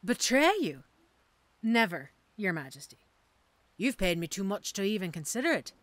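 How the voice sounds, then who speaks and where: A woman's voice: mid-range voice, sing-song, normal speed, no echo, close to an everyday voice. Woman acting a cocky, theatrical mercenary woman, swooping intonation. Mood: playful, businesslike. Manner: teasing, theatrical, sardonic. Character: cocky female mercenary assassin, wry, street-hardened, playful. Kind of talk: audio roleplay drama acting.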